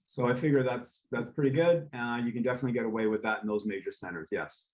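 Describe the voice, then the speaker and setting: A man, a real estate developer, speaking as a guest in a casual interview, relaxed and rambling with frequent ums and uhs. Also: medium pitch, fast. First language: English.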